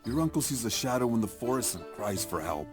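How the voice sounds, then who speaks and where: An actor playing a stern, watchful warrior in a video game, terse and deadpan. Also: male voice, mid-range voice, fairly quick, soft background music, lightly acted. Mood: scornful. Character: stern, watchful Mohawk warrior man, loyal rival.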